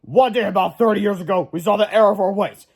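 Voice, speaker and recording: A man's voice: high voice, fast, vocal fry, slightly rough, pitched above the performer's own voice, heavily acted. A man voicing a strict, self-satisfied middle-aged male teacher in a storybook. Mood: smug.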